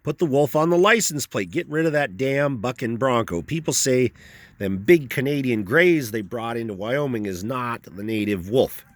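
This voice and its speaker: A man's voice: medium pitch, expressive, normal speed, no room sound, close to an everyday voice. A man voicing an opinionated middle-aged rural man who rambles in folksy, ungrammatical speech. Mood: rambling. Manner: folksy drawl, ungrammatical, long-winded.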